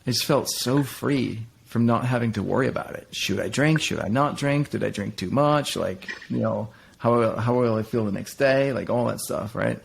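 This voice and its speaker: A man's voice: medium pitch, normal speed, creaky, few pauses, slight background noise. A middle-aged man from Denmark, talking candidly as an interview guest, with frequent restarts and fillers as he reflects on his life. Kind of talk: reflective personal conversation.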